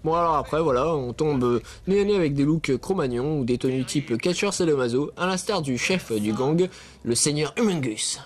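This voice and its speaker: A man's voice: fairly high pitch, lively intonation, moderate pace, a little hiss or hum. A man hosting a film review show, reading scripted narration with casual, joking asides.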